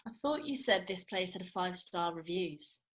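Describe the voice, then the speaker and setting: Woman acting arch, animated young Regency fan. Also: fairly low pitch, normal speed, close to an everyday voice. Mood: blunt, unimpressed. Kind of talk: script table read.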